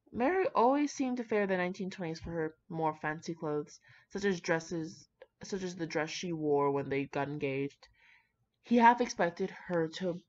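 Young girl reading fanfic out loud, low voice, slangy and casual, kinda muffled lo-fi audio. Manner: informal, slangy, swearing, stumbles over words while reading. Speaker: fan fiction reader. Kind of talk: reading fan fiction aloud with casual, chatty asides. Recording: dull, muffled lo-fi home recording.

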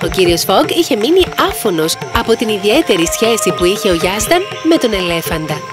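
A woman's voice: medium pitch, very animated, music in the background. A woman reading scripted storybook narration as the narrator.

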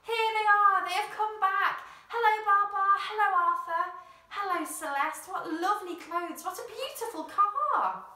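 Woman as loud, high-pitched cheering elephant. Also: measured pace, heavily acted. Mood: jubilant. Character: excited herd of elephants cheering in welcome. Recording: roomy, distant mic.

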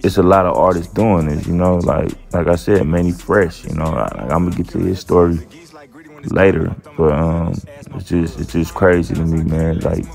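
A man's voice: sits low, steady tone, normal speed, vocal fry, darkish tone, soft background music. A man hosting his own channel, reading a news article aloud and then giving his casual, drawling opinion with lots of fillers. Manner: laid-back, drawling, with many fillers. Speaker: channel host.

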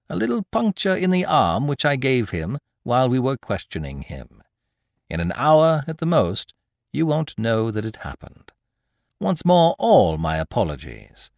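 A suave gentleman thief with a mocking, patronizing swagger, as a male narrator puts him on. His lines swing widely in pitch and come across loud and bold. The sound is close and dry. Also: wildly swooping pitch, measured pace, vocal fry, no echo, full character voice. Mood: courtly. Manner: jaunty, patronizing, theatrical.

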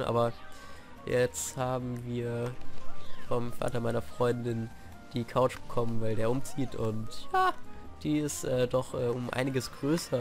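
Young man with a cold, gaming commentary. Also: mid-range voice. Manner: chatty, with sudden shouted outbursts at the game. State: has a cold, clears throat. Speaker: let's play host. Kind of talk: gameplay commentary. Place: living room at home, on a sofa. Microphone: desk mic propped on games on the sofa. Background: faint game music.

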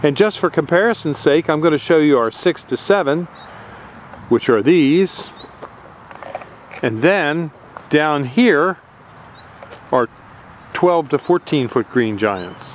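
A man's voice: on the high side, animated, slow, long pauses, a little hiss or hum. Man, tree farm owner, folksy, plainspoken. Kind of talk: casual promo talk.

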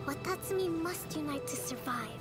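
A gentle young priestess leader played by a woman delivers a poetic game monologue in a high voice. She is solemn, earnest and measured. Music plays softly beneath her. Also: close to an everyday voice. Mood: resolute. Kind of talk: scripted game trailer monologue.

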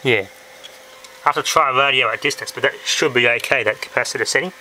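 A man giving a casual hands-on electronics demonstration, talking haltingly as he thinks aloud. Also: mid-range voice, pushed and bright. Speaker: hobbyist presenter. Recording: noisy amateur handheld camera audio with clicks.

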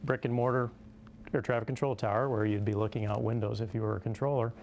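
A man in his forties with an American accent, giving halting, measured explanations in an interview. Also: on the low side, steady tone, creaky, darkish tone, a little hiss or hum. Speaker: company representative being interviewed. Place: small indoor conference room. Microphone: lapel.

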